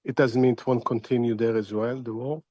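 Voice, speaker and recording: A middle-aged man giving expert analysis as an interview guest, speaking in a halting, deliberate way and repeating words as he thinks. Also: mid-range voice, flat delivery, dark tone, no room sound, lo-fi sound. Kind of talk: spoken analysis in a news interview.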